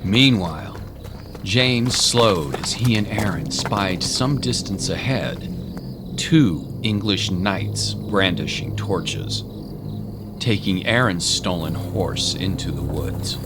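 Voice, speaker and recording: A man's voice: sits low, lively intonation, takes it slowly. A man giving dramatic scripted narration of a story. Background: music.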